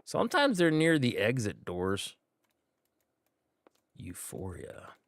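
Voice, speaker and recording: A man's voice: mid-range voice, huge pitch swings, slow, no room sound, clean studio sound. A man giving laid-back, rambling commentary while livestreaming a game, with many fillers. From United States. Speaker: gaming streamer. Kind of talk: casual gameplay commentary.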